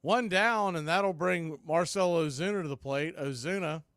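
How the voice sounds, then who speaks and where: Male baseball radio announcer, play-by-play, fairly high voice, very dry sound. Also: moderate pace, a bit bright, clean tone, no echo. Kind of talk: live baseball radio commentary.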